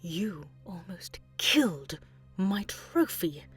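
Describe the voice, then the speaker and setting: A woman playing a cruel, imperious alien ruler in an audio drama, threatening and possessive, with a breathy, hissing delivery. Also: on the low side, very animated, soft background music. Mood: cold menace. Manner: slow, threatening, commanding. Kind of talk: scripted audio drama acting.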